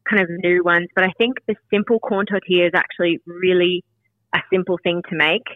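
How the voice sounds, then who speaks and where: A woman's voice: on the low side, brisk, no echo, phone-quality sound. A woman, a podcast guest talking loosely and rambling with lots of "kind of" and "like". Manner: relaxed, rambling, says "kind of" and "like" a lot. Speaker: guest, marketing manager. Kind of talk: casual interview chat.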